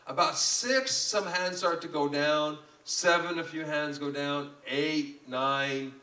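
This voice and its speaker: A man's voice: medium pitch, pushed and bright. A man giving an emphatic, folksy motivational business talk, repeating phrases for effect. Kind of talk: live motivational seminar talk. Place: room with a live audience. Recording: roomy echo, distant microphone.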